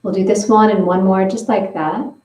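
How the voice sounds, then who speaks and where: A woman leading a guided breathing and stretching session, giving slow, gentle step-by-step instructions. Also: mid-range voice, steady tone, far from the mic. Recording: roomy, from away from the mic.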